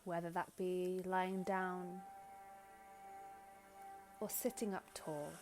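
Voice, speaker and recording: A woman leading a guided meditation in gentle, soothing, unhurried short phrases with long pauses. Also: fairly low pitch, level tone. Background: background music.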